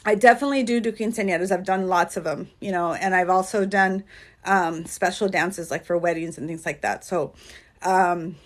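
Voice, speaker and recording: A woman's voice: fairly low pitch, steady tone, normal speed. A California-born woman chatting on a livestream as a dance choreographer and coach, rambling and earnest, with lots of "um" and "like". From California, USA. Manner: rambling, earnest, heavy on fillers like "um" and "like". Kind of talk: casual livestream chat. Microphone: phone livestream.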